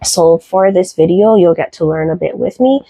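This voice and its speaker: A woman from Barbados with a softened Barbadian accent, chatting casually through a soap-making tutorial with lots of fillers. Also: fairly low pitch, moderate pace, creaky, slightly rough, no echo, rough recording. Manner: relaxed, chatty, many fillers, light laughs.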